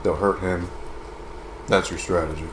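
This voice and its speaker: A man with a monotone voice chatting casually as a live stream co-host, reading out chat and commenting on a game. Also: low-pitched, measured pace. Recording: roomy, noisy live-stream room.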